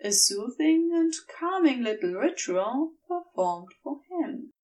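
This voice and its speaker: A woman reading scripted fiction as a narrator, in a gentle, measured storytelling manner. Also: high voice, very animated, recorded from a distance, dull audio. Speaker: podfic narrator.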